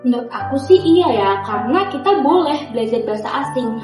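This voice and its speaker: A woman's voice: high-pitched, expressive. A young woman acting a female student in an amateur school skit who leads the discussion with pointed questions. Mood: questioning. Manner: quick, questioning, guiding the discussion. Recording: roomy, with music underneath.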